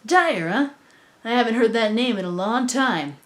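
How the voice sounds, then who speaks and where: A woman's voice: fairly high pitch, lively intonation, moderate pace, voice pushed up, lightly acted. A woman voicing a guarded, streetwise man in a casual manner, in a cartoon reading. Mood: wary. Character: streetwise adult man, guarded old friend with a secret.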